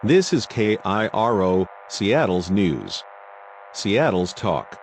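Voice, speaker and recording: Man playing a low-voiced radio news announcer. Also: big pitch swings, slow, slight background noise, full character voice. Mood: composed, formal. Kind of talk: scripted radio news bulletin.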